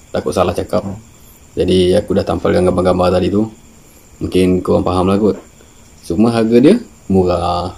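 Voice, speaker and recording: Man, Malaysian accent, low pitch, dark relaxed tone, informal unboxing talk, halting. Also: creaky, breathy edge. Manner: halting, informal, searching for words. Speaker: fishing channel vlogger. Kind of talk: casual unboxing chat. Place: small room indoors with guitars on the wall.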